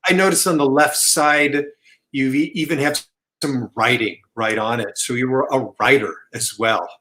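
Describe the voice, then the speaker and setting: A man's voice: mid-range voice, normal speed, sharp tone. Encouraging man hosting an interview. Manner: encouraging, asks follow-up questions. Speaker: podcast host. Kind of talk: casual interview chat.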